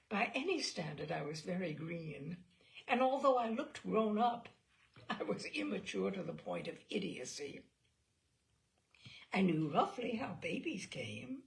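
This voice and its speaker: Woman, literary reader, measured theatrical storytelling, lively melodic range, gravelly creak. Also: mid-range voice. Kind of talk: expressive literary reading of memoir.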